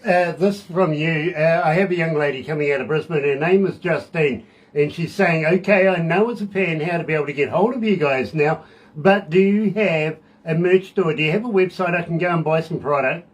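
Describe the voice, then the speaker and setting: A middle-aged man with a New Zealand accent hosts a radio show, his voice sitting slightly high. He spins long, deadpan jokes and quips at his guests in a relaxed studio chat. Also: poor audio. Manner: cracks deadpan jokes, drawn-out setups. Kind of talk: casual joking interview.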